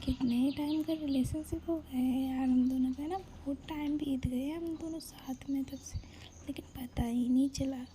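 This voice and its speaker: High-pitched, cutesy young woman murmuring sweetly. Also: fairly even intonation, normal speed, warm tone, slight background noise. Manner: cutesy, coaxing, affectionate murmuring. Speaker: girlfriend in a one-sided phone-call roleplay. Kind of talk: intimate romantic phone chat.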